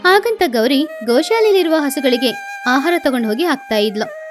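A woman's voice: high voice, expressive, moderate pace, music in the background. A woman narrating a scripted children's moral story as the storyteller.

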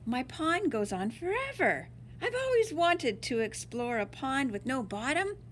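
A woman voicing an adventurous, excited boy explorer while reading a children's storybook aloud. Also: high-pitched, unhurried, a little hiss or hum, pitched above the performer's own voice.

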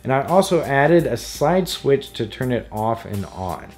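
A man narrates a scripted how-to tutorial as its host. His voice sits at a medium pitch, and his delivery is casual and explanatory. Music plays behind him. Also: big pitch swings, measured pace, a little mellow. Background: background music.

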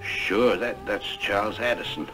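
An actor playing an earnest, humble newspaper seller in a 1960s television drama. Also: male voice, fairly low pitch, measured pace, lightly acted, poor audio. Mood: earnest, admiring. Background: score music.

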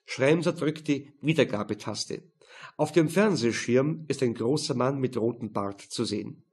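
A man's voice: medium pitch, a little slow, breathy edge. A man reading a crime novel aloud as scripted audiobook narration.

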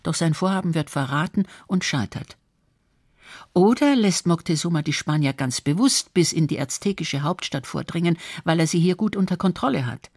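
A woman's voice: lowish voice, lively intonation, moderate pace, a little mellow, slightly rough, no echo. A woman reading scripted documentary narration.

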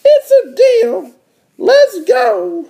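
A man voicing a cocky, bratty little girl in a squeaky falsetto for a children's picture book. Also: sing-song, extremely slow, creaky, dark tone, exaggerated voice. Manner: boastful, insistent. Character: bratty, cocky little girl, know-it-all younger sister.

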